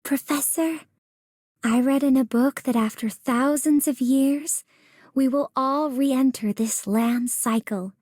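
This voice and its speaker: An actress playing a gentle, wistful, scholarly young woman in a video game, with a soft, reflective manner. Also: high voice, slow, dry recording, studio quality. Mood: wistful, tender. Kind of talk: video game character monologue.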